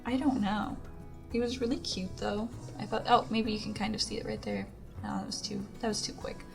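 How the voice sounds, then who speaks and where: Hoarse young woman chatting over music. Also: medium pitch, steady tone, fairly quick. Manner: chatty, rambling, lots of "like" and "um". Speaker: Sims speed-build YouTuber. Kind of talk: casual voice-over commentary. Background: background music.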